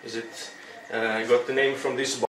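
A man with a Croatian accent giving an informal cooking demonstration, explaining things in a halting way. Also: medium pitch, flat delivery. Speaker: cooking instructor. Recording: roomy, distant mic with clear background noise.

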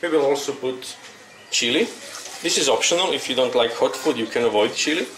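A man with a Croatian accent giving an informal cooking demonstration, explaining things in a halting way. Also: medium pitch, a bit bright. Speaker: cooking instructor. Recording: roomy, distant mic with clear background noise.

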